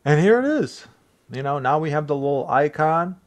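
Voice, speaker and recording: A man from Bosnia with a Bosnian accent, English his second language, casually talking through a software tutorial for his channel with rambling asides and self-deprecating chuckles. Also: fairly high pitch, big pitch swings, moderate pace. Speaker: tech tutorial creator. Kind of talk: casual screen-recorded tutorial.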